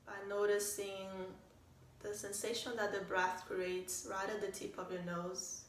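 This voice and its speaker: A woman leading a guided meditation as a teacher, speaking in a calm, gentle way with unhurried phrasing and pauses. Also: medium pitch. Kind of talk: guided meditation, soft instruction. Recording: roomy, distant mic, faint noise.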